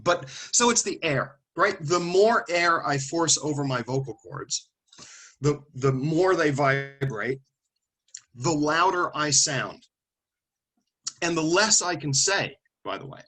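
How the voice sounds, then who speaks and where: A man's voice: a little high, lively intonation, slow pace, sharp tone. A man lecturing a classroom of students, calling on them by name and thinking aloud as he explains. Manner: conversational, thinking aloud with restarts and asides.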